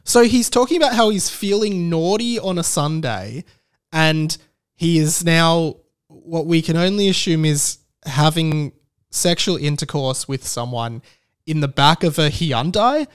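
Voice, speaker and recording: Australian male podcast host, thirties, higher-than-average voice, casual delivery with wry, mock-serious musings. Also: lively intonation, measured pace, smooth. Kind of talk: casual solo podcast commentary.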